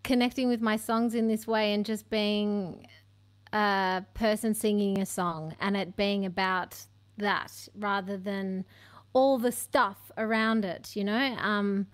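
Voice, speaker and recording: A woman's voice: mid-range voice, fairly even intonation, slow. An Australian woman in her thirties, a singer chatting casually about her music from a home room full of bookshelves, laughing often. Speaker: singer-songwriter guest. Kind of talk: casual interview chat. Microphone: studio mic on stand, headphones on.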